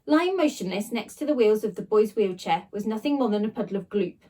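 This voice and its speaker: A woman reading a children's story aloud like a teacher, with dramatic, expressive delivery and emphatic sound words. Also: medium pitch, fairly quick. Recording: voice a little distant from the microphone.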